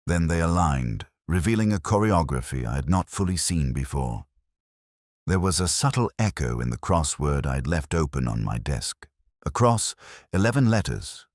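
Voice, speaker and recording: A man playing a brooding, methodical detective inspector, narrating a mystery in a measured, grave, literary manner. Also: extremely low pitch, no room sound, clean studio sound, exaggerated voice. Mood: intent, analytical. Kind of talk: scripted first-person mystery narration.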